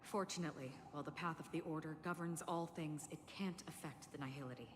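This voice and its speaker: Casting: actress as grave, measured woman guide for a fantasy game, low voice in long reverb. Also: background noise, lightly acted. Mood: solemn. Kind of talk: scripted video game voice acting. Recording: reverberant game audio over music.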